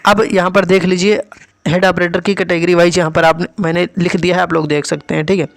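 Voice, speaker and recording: A man's voice: sits high, little pitch movement, a little fast, vocal fry, no echo. A man teaching as an exam coach, explaining score figures to students in an instructional way and repeating key points. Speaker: exam coach and channel host.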